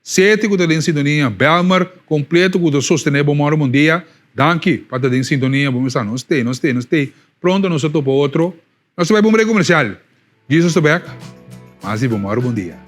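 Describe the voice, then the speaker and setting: A man's voice: on the high side, faint music behind. A man hosting a live morning radio show, chatting casually with many fillers and repeats. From Curaçao. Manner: lively, repetitive, halting with fillers.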